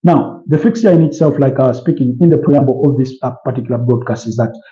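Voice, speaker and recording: A man's voice: mid-range voice, fast, dark tone. Ugandan man on an echoey livestream. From Kampala, Uganda. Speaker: livestream host. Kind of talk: casual football preview and chat with viewers. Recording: dull, roomy, echoey sound.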